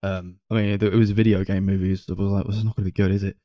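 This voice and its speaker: Relaxed, dark-toned man chatting casually. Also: sits low, very fast, no room sound, studio quality. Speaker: film podcast co-host. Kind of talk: casual film review chat.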